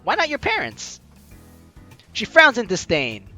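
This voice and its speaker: A man hosts a let's play, reading narration and dialogue aloud with swooping, animated pitch. His voice is bright and forceful, his delivery playful and theatrical, with faint game music behind him. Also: unhurried. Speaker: host of a game playthrough. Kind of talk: let's play, reading visual novel lines aloud expressively.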